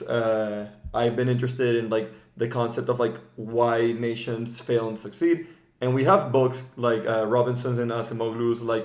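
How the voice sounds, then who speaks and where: Male podcast host, informal and chatty, average pitch, echoey room. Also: darkish tone. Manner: informal, peppers talk with "like". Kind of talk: casual conversational podcast talk. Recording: roomy, echoing room sound.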